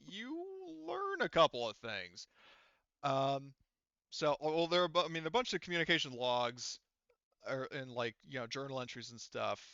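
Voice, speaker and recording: A man's voice: fairly high pitch, sharp tone, smooth, no echo, highs cut off. A man narrating a tabletop game as game master in a casual, improvised, rambling and sometimes sweary way.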